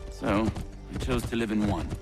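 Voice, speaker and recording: A man's voice: fairly low pitch, moderate pace, music in the background, close to an everyday voice. A man playing a confident, friendly young revolutionary ally in a video game, speaking in an assured, articulate way. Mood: reflective.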